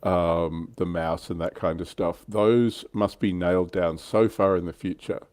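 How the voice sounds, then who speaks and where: A man's voice: sits low, steady tone, a little slow, a little mellow, no room sound. A man hosting a casual hobby panel chat, talking informally. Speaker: host and reviewer on a tabletop wargaming livestream.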